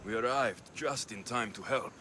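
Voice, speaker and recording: An actor playing a gruff young mercenary warrior in a video game, blunt and dry, with a Greek accent. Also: male voice, mid-range voice, normal speed, bright, forceful tone, a little hiss or hum, lightly acted. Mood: grim, resolute. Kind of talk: cinematic video game acting. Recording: music behind the voice.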